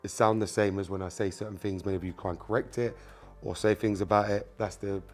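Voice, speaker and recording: A man's voice: on the low side, steady tone, fairly quick, soft background music. A man whose first language is Spanish, hosting a hands-on car repair tutorial, talking casually to viewers with frequent ums and obviouslys. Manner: casual, repeats filler words like "um" and "obviously"; addresses viewers directly as "you guys" while working. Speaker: car mechanic channel host.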